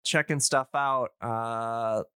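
A man talks casually on a podcast with a bright, crisp-edged voice in a very dry, close space. He rambles with enthusiasm and keeps his wording loose and informal. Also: mid-range voice, fairly even intonation, slow, dry recording.

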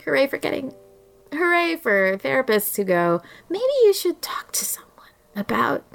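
A woman's voice: high-pitched, sing-song, moderate pace, gravelly, a bit bright, slight background noise. A young adult woman, a podcast guest, chatting casually in a wry, jokey manner. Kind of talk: casual chat.

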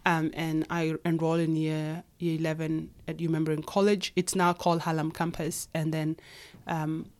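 A woman in her thirties whose first language is Nuer speaks in a somewhat low voice. She answers questions hesitantly, with frequent pauses and restarts as she recalls her past. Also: little pitch movement, a little mellow. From Kenya. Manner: hesitant, many fillers and restarts. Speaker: guest. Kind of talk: interview conversation.

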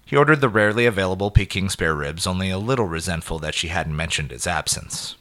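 A man narrating a scripted short story in an expressive, measured way with clipped phrases. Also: low voice.

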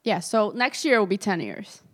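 A woman in her twenties hosts a relaxed, friendly podcast conversation. Her voice sits at an ordinary pitch for a woman, and her talk is informal, playful and effusive. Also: normal speed. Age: 20s. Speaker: podcast host. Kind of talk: casual podcast chat with a friend.

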